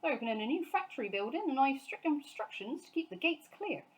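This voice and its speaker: A woman's voice: high voice, very animated, fast talker, voice pushed up, rough recording. An officious uniformed gatekeeper from a children's storybook, acted by a woman. He is stern and sneering, his sarcasm swinging up and down with dismissive relish. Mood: stern, indignant.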